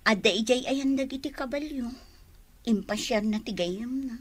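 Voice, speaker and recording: A woman's voice: fairly high pitch, moderate pace, no echo, lightly acted. An actress with a Filipino accent playing a downcast, hesitant young woman in a radio drama.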